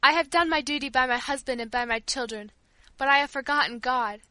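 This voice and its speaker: A woman's voice: sits high, brisk, pushed and bright, no echo. A woman voicing a dying young mother, pleading fervently and full of fear, in an audiobook. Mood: fearful, desperate. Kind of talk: audiobook character dialogue.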